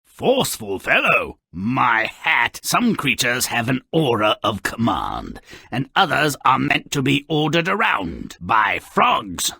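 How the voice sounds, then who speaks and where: An actor voicing a cynical, gleefully wicked little gremlin who narrates fairy tales in a video game, with a gravelly rasp and a sarcastic, theatrical drawl. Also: male voice, medium pitch, wildly swooping pitch, slow, pushed and bright, no room sound, studio quality, exaggerated voice. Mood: sardonic. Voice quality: raspy, gravelly.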